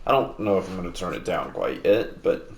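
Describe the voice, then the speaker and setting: Low-voiced man chatting far from the mic. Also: a little fast, vocal fry, roomy sound. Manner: rambling, relaxed, many fillers and restarts; talking louder than normal to make up for mic distance. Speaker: gameplay video host. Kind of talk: casual gaming commentary. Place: in a house. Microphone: sitting at a distance from the mic.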